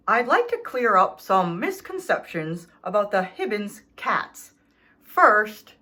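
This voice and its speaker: A woman's voice: on the high side, sharp tone, heavily acted. A woman voicing an indignant, self-pitying male dog in a children's storybook, reading his letters with theatrical, aggrieved emphasis. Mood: defensive, snide. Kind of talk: expressive children's storybook read-aloud.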